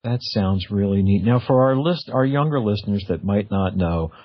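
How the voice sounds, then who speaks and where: Male radio interviewer, deep-ish and husky, casual and meandering, backs up and restarts mid-thought. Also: animated. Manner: rambling, halting, self-correcting. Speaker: radio show host. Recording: slightly dull, lo-fi audio.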